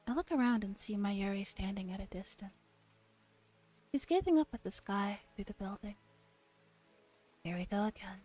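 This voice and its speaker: A woman streamer gently reading a visual novel aloud in a soft ASMR style, with relaxed casual asides. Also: mid-range voice, normal speed, long pauses. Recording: music behind the voice.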